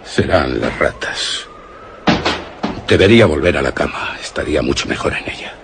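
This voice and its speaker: A man's voice: rumbling low, animated, moderate pace, creaky, noisy background, exaggerated voice. An actor with a Castilian Spanish accent playing a nervous man in a gloomy haunted castle, muttering theatrically in a radio horror drama. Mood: uneasy grumbling. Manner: theatrical, muttering tensely to himself.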